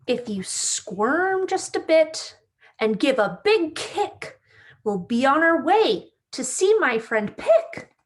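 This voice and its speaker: A woman's voice: high-pitched, sing-song, slow, full character voice. A woman voicing an eager, cheerful young stick character from a children's storybook in an exaggerated sing-song way. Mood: excited.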